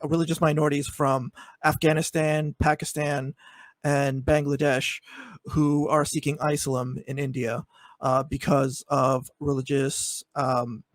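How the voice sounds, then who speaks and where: A man's voice: a little high, flat delivery, a little slow, no echo. A man debating on a video call, hesitant with many fillers but building into pointed arguments. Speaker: debate guest. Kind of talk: online debate.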